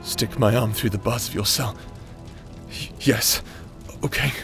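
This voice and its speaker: A man playing a proud, tormented captor under a curse in a dark fantasy audio roleplay, with a theatrical, anguished and imperious delivery. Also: medium pitch, measured pace, music in the background, lightly acted. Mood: wary, demanding.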